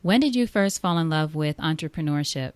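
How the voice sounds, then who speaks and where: Smooth-voiced woman hosting a podcast. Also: low voice, expressive, fairly quick, dry recording. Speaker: podcast host and interviewer. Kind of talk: upbeat podcast intro and interview.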